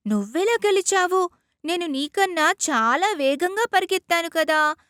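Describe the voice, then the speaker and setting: Storybook crab, played by a woman: cocky, challenging, high and animated. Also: a little slow, dry recording, studio quality, full character voice. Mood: astonished. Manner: taunting, challenging. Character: proud, boastful, fast-running crab.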